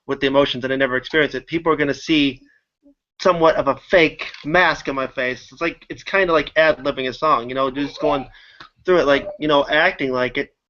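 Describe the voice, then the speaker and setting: A man's voice: mid-range voice, sharp tone. A man talking earnestly in a casual group discussion, repeating his point. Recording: dull, muffled audio.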